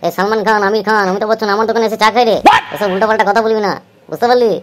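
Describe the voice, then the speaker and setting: A woman playing a boastful, quick-tempered male tea seller. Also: medium pitch, steady tone, natural voice.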